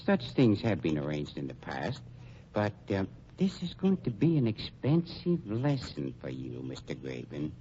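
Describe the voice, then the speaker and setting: An actor playing a suave, mercenary funeral director in an old radio crime drama, speaking with pompous, deliberate diction. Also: male voice, deep voice, wildly swooping pitch, warm tone, heavily acted. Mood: smooth, mercenary. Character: suave, shrewd funeral director, coolly mercenary double-crosser. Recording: dull, noisy old radio recording.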